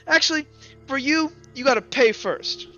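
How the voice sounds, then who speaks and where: A man's voice: very high voice, normal speed, bright, forceful tone, muffled recording, soft background music, voice pushed up. A man voicing a cheeky, street-smart newsboy in a tabletop game, brash and taunting. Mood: sly. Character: cheeky, street-smart newsboy hawking papers, sassy and shrewd. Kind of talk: tabletop roleplay character voice.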